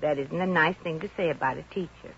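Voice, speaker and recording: A woman's voice: deep voice, quick pace, creaky, slightly rough, heavily acted. An actress playing a plucky, wisecracking, broke showgirl in a 1950s radio comedy, with a brassy, wry, slangy way of talking. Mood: sassy. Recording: dull, noisy 1950 radio recording.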